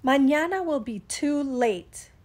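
A woman's voice: sits high, animated, takes it slowly, darkish tone. A woman voicing a hardworking female storybook iguana, emphatic and insistent with her lazy friends. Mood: exasperated.

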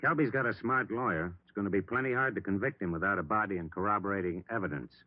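An actor playing a middle-aged, steady police captain with an American accent in a 1950s radio drama, measured and authoritative. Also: male voice, on the low side, dry recording, close to an everyday voice. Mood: businesslike. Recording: dry, narrow-band vintage radio recording.

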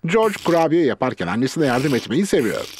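A man narrating a children's cartoon in a clear, expressive storytelling voice. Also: medium pitch, a little fast, noisy background. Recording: music playing under the voice. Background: children's cartoon music.

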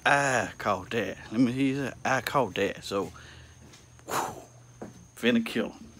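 A young man with an easy, laid-back delivery chats through his cooking for a family vlog. His voice sits at a middle pitch. He keeps calling his viewers family. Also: slow, a bit bright, a little hiss or hum. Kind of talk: casual family vlog chat.

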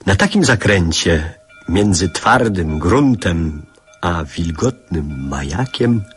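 Older man, radio play narrator, nostalgic, lyrical, lively intonation, unhurried pace. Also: deep voice, husky, soft background music, exaggerated voice. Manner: dramatic, lyrical delivery. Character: nostalgic grown man recalling his boyhood, lyrical and reflective. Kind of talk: radio drama literary narration.

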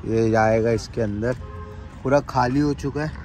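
A man's voice: medium pitch, steady tone, normal speed, darkish tone, faint music behind. A man talking casually to viewers as a travel vlogger, mixing in English phrases. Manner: informal Hindi mixed with English phrases. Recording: noisy outdoor recording with vehicle sounds.

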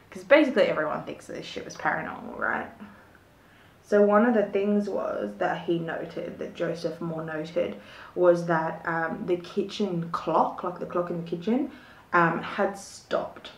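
A young woman tells a mystery story at her desk, chatty and rambling, with lots of "like" and "um". Her voice sits a little low and has a gravelly fry. The small room around her sounds echoey. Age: young adult. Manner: chatty, rambling, many fillers and likes. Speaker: true crime vlogger. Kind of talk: casual storytelling with reading aloud. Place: small home room with shelves of collectibles. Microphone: fixed camera at desk.

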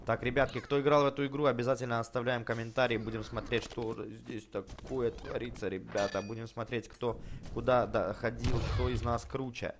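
A man hosting a casual, chatty let's play for children, excitable, full of exclamations, repeated words and affectionate diminutives. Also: medium pitch, brisk, background noise. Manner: uses many affectionate diminutives. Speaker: gaming YouTuber host.

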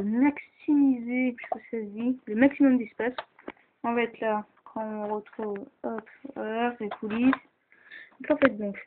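A woman chatting casually with lots of fillers as she shows off a toy model at home, filmed on a handheld camera held close. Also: on the high side. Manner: chatty, hesitant, many fillers. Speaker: toy builder showing a model. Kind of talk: casual show-and-tell narration. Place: indoors at home. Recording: tinny lo-fi camera audio, hissy.

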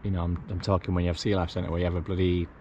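A man's voice: deep voice, flat delivery, rapid-fire, darkish tone. A man chatting casually to camera outdoors, rambling with lots of "you know". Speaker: vlogger. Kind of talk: casual outdoor vlog chat. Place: outdoors, kayak at sea then beach. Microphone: action camera. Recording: dull lo-fi camera audio with clear wind and water noise.

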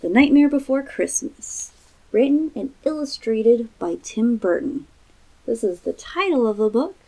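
A woman's voice: fairly high pitch, a little mellow, rough recording. A woman in her twenties doing a casual children's picture-book read-aloud in a small room. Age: 20s.

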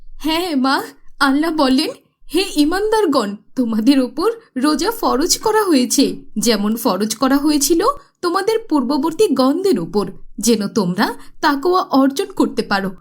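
A woman playing a devout, gentle village mother in a cartoon, solemn and instructive. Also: high-pitched, very animated, measured pace, voice pushed up. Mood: solemn teaching.

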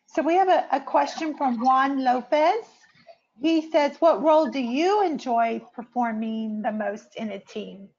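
A woman's voice: high voice, a little slow, a little mellow. A woman moderating a webinar, reading audience questions aloud in an upbeat way. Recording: lo-fi video call audio.

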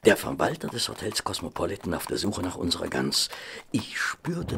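An actor playing a genteel, loyal detective's companion who also narrates, in an audio drama. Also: male voice, lowish voice, vocal fry, noisy background.

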